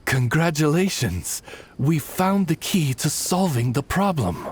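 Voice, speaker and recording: A man voicing a formal, instructive character in a video game dub, with a measured, moralizing manner. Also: on the high side, lively intonation, slight background noise. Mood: formal, approving.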